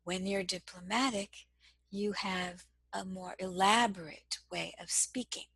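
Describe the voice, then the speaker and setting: A woman with a soft voice giving a slow, measured, gentle lesson on communication as a trainer. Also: mid-range voice, steady tone, creaky, husky, no echo. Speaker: cross-cultural communication trainer. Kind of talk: instructional lesson to camera.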